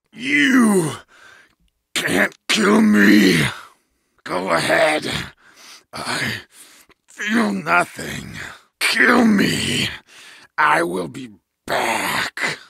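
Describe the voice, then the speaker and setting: Gravelly feral berserker warrior. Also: male voice, high voice, sharp tone, no room sound, studio quality, heavily acted. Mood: defiant, dying. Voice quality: gravelly, growling. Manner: archaic phrasing, fierce short barks and battle cries. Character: savage, feral wolf-pack berserker warrior, male, fearless. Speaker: game character voice actor. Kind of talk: medieval combat game voice lines.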